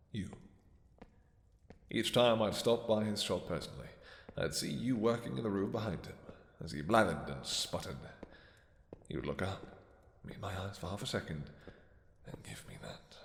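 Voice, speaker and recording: A man playing an imperious, possessive vampire king in a romantic audio roleplay, speaking in a deep, soft, courtly voice with formal phrasing. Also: creaky, heavily acted. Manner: formal, courtly, commanding, hesitant pauses. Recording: echoey hall with long reverb.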